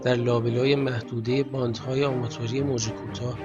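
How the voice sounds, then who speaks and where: A man with an Iranian Persian accent narrating a scripted documentary in a measured, formal storytelling manner. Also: medium pitch, flat delivery, highs cut off. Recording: music bed under the voice.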